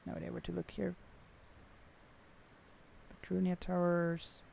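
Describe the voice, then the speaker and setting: Gravelly Swedish-accented streamer. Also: male voice, high-pitched, monotone, measured pace, mellow, a little hiss or hum. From Sweden. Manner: chatty, reads out chat comments, hesitant with many fillers. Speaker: livestreaming flight sim host. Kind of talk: casual livestream chat.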